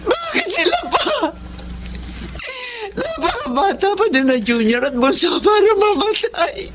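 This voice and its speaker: A woman's voice: very high voice, very animated, unhurried, exaggerated voice. An actress in a Filipino radio drama playing a poor, devoted, anxious mother with an emotional, pleading delivery. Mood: anguished.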